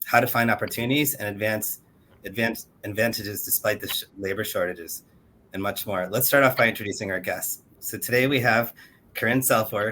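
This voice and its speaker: Male webinar host over a video call, lowish voice, faint background hiss, easygoing and conversational. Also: low-quality recording. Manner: relaxed, conversational, some filler hesitations. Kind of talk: hosting a video-call panel.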